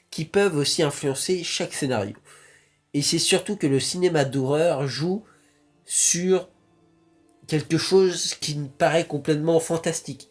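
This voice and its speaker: A man's voice: on the high side, darkish tone. A young man from France talking casually to his viewers, rambling with many restarts and fillers. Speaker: YouTuber. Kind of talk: casual vlog talk.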